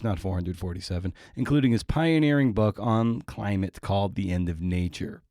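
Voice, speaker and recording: A man's voice: on the low side, warm tone, no echo, clean studio sound. Irreverent, jokey male podcast host. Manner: irreverent, jokey, self-mocking. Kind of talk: casual podcast intro monologue.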